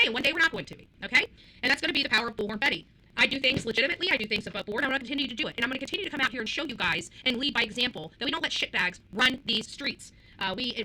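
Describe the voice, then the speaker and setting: A woman's voice: high voice, bright, forceful tone, rough recording. A woman livestream host ranting to her viewers in a combative, blunt, profane and emphatic way, repeating phrases for force.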